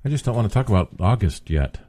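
A man's voice: on the low side, animated, quick pace, mellow, dry recording, clean studio sound. A man co-hosting a radio show, laid-back and laughing often. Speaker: radio co-host. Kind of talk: casual radio banter.

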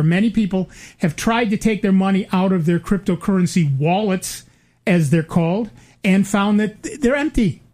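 A man's voice: high voice, darkish tone. An older man hosting a casual chat, rambling with many fillers and restarts and dry asides.

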